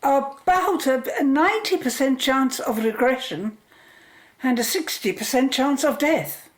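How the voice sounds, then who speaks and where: A woman's voice: sits high, lively intonation, measured pace. A woman playing a sceptical, rational scientist in a radio play, frank and precise. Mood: sober, explanatory. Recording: roomy, echoing studio sound.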